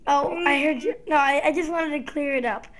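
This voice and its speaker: A child or young teen hosting a casual gaming video with live gameplay commentary, sometimes slightly raspy. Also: sits high, fairly quick, fairly bright tone. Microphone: gaming headset.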